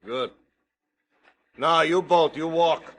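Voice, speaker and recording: An actor playing a treacherous half-breed guide who speaks clipped, broken English in a 1950s radio drama. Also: male voice, on the high side, slow. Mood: commanding.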